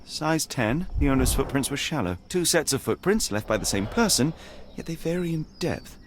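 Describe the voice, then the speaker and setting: A man playing a composed, sharp-minded detective in a video game, speaking with precise, measured, analytical authority. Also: fairly high pitch, very animated, a little hiss or hum. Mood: analytical, intent. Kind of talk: dramatic detective game dialogue and deduction narration.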